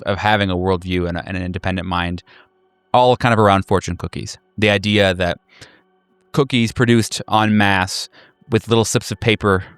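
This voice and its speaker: Low, smooth-voiced male game master. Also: fairly bright tone, dry recording. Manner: halting, reflective, deliberate. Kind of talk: improvised tabletop game narration. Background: faint music.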